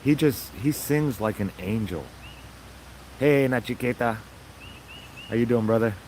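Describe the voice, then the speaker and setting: Raspy man, mid-pitched, easygoing and enthusiastic, talking to camera outside. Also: measured pace, a little mellow, slight background noise, rough recording. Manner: rambling, enthusiastic, upbeat. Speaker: vlogger. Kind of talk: casual chat to viewers.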